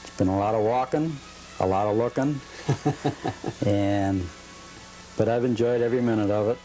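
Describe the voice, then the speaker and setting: A man answering interview questions at home about his collecting, in a relaxed and somewhat halting, rambling way. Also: fairly low pitch, faint music behind, low-quality recording.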